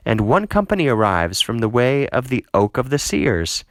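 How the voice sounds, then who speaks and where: A man's voice: mid-range voice, very animated, normal speed, no echo, clean studio sound, pitched above the performer's own voice. Man reading as a defiant, declamatory rebel. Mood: alarmed. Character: defiant, boastful male rebel challenging a ruler.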